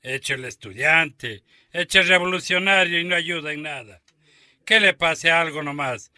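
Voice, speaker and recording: Forceful, grumbling surly husband. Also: male voice, fairly high pitch, normal speed, clear, resonant tone, dry recording, clean studio sound. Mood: surly, resentful. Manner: grumbling, bullying. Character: surly, foul-mouthed idle husband and father. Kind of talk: radio drama acting.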